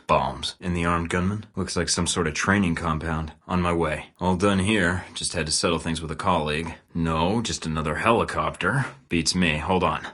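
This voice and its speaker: A man's voice: low voice, fairly bright tone, breathy edge. An actor playing a male secret agent in a video game, speaking dry, clipped, matter-of-fact lines.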